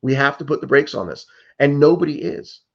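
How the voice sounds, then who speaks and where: A man's voice: fairly high pitch, animated, fairly quick, highs cut off. A man in his fifties from the United States, a political candidate answering interview questions in an earnest, urgent way, with frequent restarts and fillers. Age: 50s. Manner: earnest and urgent, many restarts and "like", "you know".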